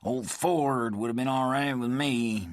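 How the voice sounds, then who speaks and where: A man voicing a bitter, paranoid old laid-off miner who rants in a gruff, folksy drawl. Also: mid-range voice, steady tone, measured pace, no room sound, voice pushed up, natural voice. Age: older. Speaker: story character. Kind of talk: audiobook character voice.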